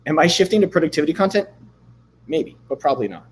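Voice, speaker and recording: A man in his thirties hosting a live stream, chatting loosely and swearing casually, a bit hoarse from losing his voice. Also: a little high, lively intonation, quick pace, slight background noise, rough recording. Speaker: livestream host. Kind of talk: casual livestream chat with screen demos.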